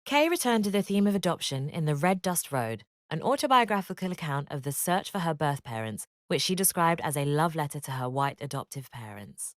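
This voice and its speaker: Woman, educational narrator, low pitch, measured expressive reading, dry studio sound. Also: no room sound. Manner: measured, expressive read-aloud delivery. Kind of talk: scripted narration.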